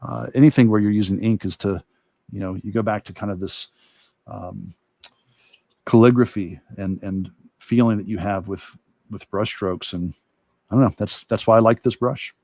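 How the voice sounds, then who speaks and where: A man who teaches art, talking casually and thinking aloud in a halting way during a livestream demo. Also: fairly low pitch, fairly even intonation, creaky, a little mellow, dry recording, clean studio sound. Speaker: art instructor and illustrator.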